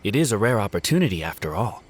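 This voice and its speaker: Courteous samurai retainer, lively intonation. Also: male voice, lowish voice, fast, a little hiss or hum. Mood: earnest. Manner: polite, formal, explanatory. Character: courteous, earnest male samurai retainer, helpful and apologetic. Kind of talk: scripted video game dialogue.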